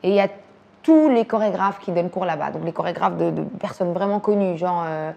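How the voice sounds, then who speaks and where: A woman from Belgium in her thirties, talking casually and quickly about music and dance, using slang and repeating words. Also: fairly low pitch, expressive, gravelly, a little mellow. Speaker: actress and director talking about her influences. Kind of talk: casual chat.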